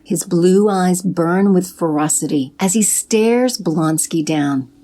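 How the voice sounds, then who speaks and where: A woman reads scripted audio drama narration in an even, descriptive manner. Her voice is low and dark in tone. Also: takes it slowly.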